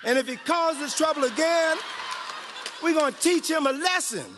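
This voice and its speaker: A man's voice: extremely high pitch, normal speed, a crowd in the background, background noise, voice pushed up. A man voicing a bullying rooster who runs the chicken yard, threatening with street-tough swagger, in a storybook reading. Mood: menacing. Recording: echoey hall with long reverb.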